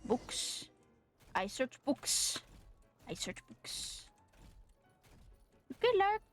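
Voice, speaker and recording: A woman's voice: high-pitched, very animated, drawn-out words. A woman streaming a video game, reacting casually and muttering playfully to herself over the game's music. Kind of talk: casual live gameplay reaction.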